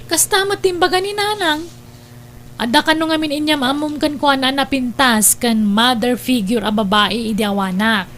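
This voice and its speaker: A woman acts as a caring but nosy older sister in an Ilocano radio drama. Her voice is high, with an Ilocano accent and a frank, questioning way of talking. A hum runs under the recording. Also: moderate pace, a bit bright. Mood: frank, teasing. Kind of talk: Ilocano radio-style family drama acting. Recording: noticeable hum behind voice.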